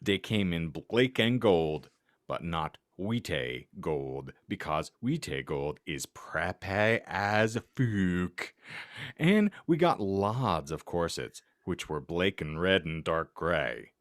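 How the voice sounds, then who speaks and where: Male podcast host, deep and unhurried, reading awful fan fiction aloud with weary deadpan. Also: no room sound, clean studio sound.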